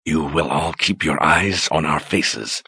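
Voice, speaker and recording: A man voicing a cold, aloof sorceress who speaks with slow, quiet menace. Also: very deep voice, steady tone, breathy edge, no echo, full character voice. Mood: icy.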